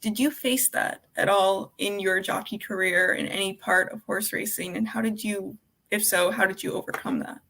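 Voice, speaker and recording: Woman co-host, gravelly bright voice, chatty. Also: medium pitch, steady tone, normal speed. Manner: chatty, asks long questions. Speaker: podcast co-host. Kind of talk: interview question.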